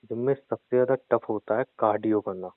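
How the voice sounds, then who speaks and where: A man's voice: lowish voice, a little fast, no echo. Teasing young man on a phone line. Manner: teasing, playful. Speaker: boyfriend in comedy phone call. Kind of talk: scripted comedic phone banter. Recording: phone call recording.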